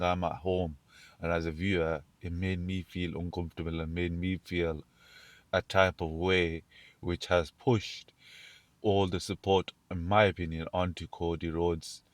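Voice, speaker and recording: A man, sounding croaky from being ill, hosting his own show and rambling casually about wrestling with frequent restarts. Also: low voice, dry recording. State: croaky from illness. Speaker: show host. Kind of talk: casual wrestling commentary monologue.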